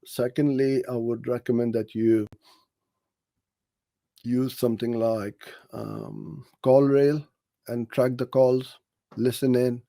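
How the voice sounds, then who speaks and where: A man's voice: medium pitch, mellow, dry recording. A man coaching in an explanatory, off-the-cuff way, talking through practical advice with examples. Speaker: digital marketing coach answering a question.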